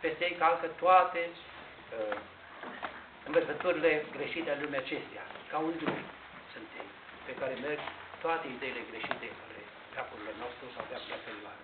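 An older man, a priest, preaching a sermon in a measured, explanatory way with pauses and restarts, recorded by a far camera inside a large church. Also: mid-range voice. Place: large Orthodox church interior, indoors. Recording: tinny, echoey church recording with hiss.

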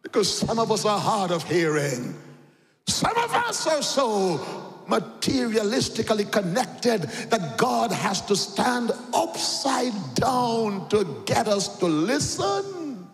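A man preaching a fiery sermon, shouting and sing-song, with dramatic rises and drops. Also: high-pitched, big pitch swings, takes it slowly, soft background music. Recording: echoey hall with long reverb.

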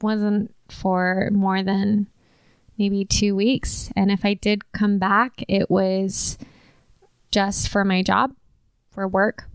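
A woman in her twenties with a Californian accent, a podcast guest talking casually at an unhurried pace with fillers. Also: medium pitch, level tone, smooth, no room sound. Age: 20s. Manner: slow, unhurried, with light laughter and fillers. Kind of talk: casual interview conversation.

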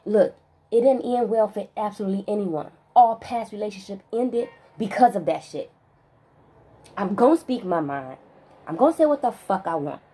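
Husky-voiced woman ranting emphatically. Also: medium pitch, animated, dark tone, low-quality recording. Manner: emphatic, profane, stressing single words with long pauses. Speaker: vlogger. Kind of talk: spiritual rant monologue.

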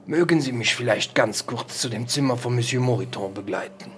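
A man's voice: mid-range voice, brisk, a bit bright, soft background music, lightly acted. A man playing a courteous, concerned investigator with a hesitant, formally polite way of talking in an audio drama. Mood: polite inquiring. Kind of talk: improvised pen-and-paper audio drama acting.

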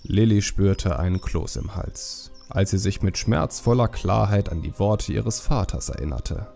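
A man reads a story as an audiobook narrator. His voice is low and creaky, measured and solemn, with a dark warm tone. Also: soft background music.

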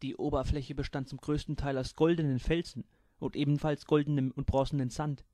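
A man's voice: on the high side, level tone, fairly quick, mellow, no room sound. A man narrating his own humorous science fiction story in a dry storytelling manner. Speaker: author reading his own book. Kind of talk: audiobook narration.

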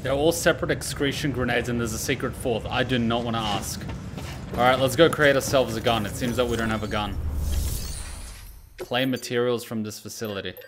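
A man's voice: mid-range voice, fairly even intonation, a little fast, noisy background. A man giving casual gameplay commentary, talking to himself and swearing freely as he goes. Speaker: gaming streamer.

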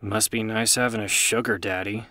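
An actor playing a bored, snide, underpaid store cashier in a visual novel game. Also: male voice, fairly low pitch, fairly even intonation, moderate pace, sharp tone, no echo, studio quality.

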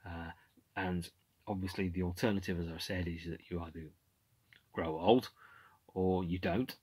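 Man, 40s, deep gravelly voice, husky, chatty album talk to a webcam at home. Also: normal speed, dry recording. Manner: animated, gestures with hands, many fillers and restarts. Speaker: podcast host. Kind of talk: casual music commentary. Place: home room with shelves of CDs.

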